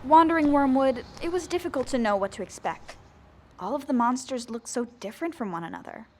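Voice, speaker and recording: A woman's voice: high voice, lively intonation, brisk, darkish tone, a little hiss or hum. A woman playing a young female zombie who narrates her own story in an earnest, plain audio drama style. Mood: reflective. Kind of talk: first-person scripted narration.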